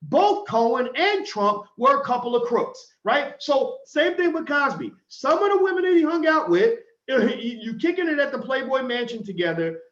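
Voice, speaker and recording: A man's voice: extremely high pitch, sing-song, normal speed, few pauses, a bit bright. A man hosting an opinionated commentary talk show, blunt and heated, often restarting his sentences. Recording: echoey room, dull lo-fi sound.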